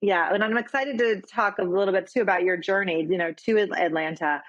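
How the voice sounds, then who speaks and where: A woman's voice: medium pitch, brisk, muffled recording. A woman hosting a podcast interview, chatty and encouraging, with many fillers as she asks her questions. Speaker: podcast host.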